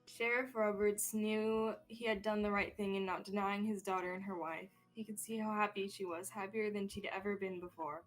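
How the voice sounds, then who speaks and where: A woman's voice: medium pitch, steady tone, moderate pace, hardly any pauses, low-quality recording. A young woman reading scripted narration as the storyteller of a student radio drama. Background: soft music.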